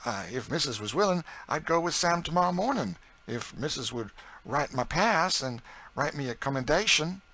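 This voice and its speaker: A man's voice: on the high side, lively intonation, moderate pace, sharp tone, pitched above the performer's own voice, full character voice. A man voicing an eager, motherly enslaved woman cook in an audiobook, with a folksy dialect and exclamatory delivery. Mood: earnest. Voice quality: folksy dialect speech with dropped sounds. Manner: exclamatory, emphatic. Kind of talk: audiobook character dialogue.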